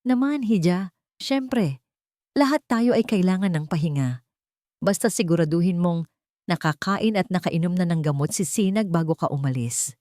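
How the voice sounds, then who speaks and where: A woman voicing an outwardly sweet, coolly menacing wealthy older lady who speaks calmly with veiled mockery. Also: lowish voice, moderate pace, no echo, studio quality, natural voice. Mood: falsely sweet. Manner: calm, sugary, veiled mockery.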